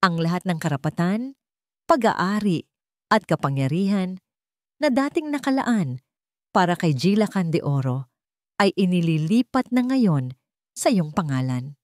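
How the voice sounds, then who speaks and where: A woman voicing a male family attorney in a dramatic audio storybook, formal, measured and official. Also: on the low side, big pitch swings, a little mellow, no echo, studio quality.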